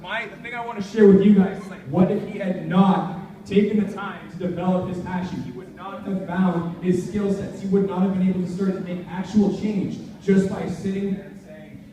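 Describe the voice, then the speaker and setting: A young man speaks in a high voice, delivering an emphatic, informal motivational speech. The sound is heavily echoey and captured from far off, as in a large hall. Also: fairly even intonation, moderate pace, mellow. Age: young adult. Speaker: motivational speaker at a student leadership conference. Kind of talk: motivational talk to a live audience. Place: large indoor conference hall.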